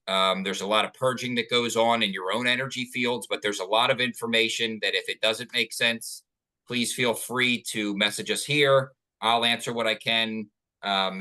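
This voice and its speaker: Man, podcast host, lowish voice, earnest and rambling. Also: pushed and bright. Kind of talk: casual interview chat.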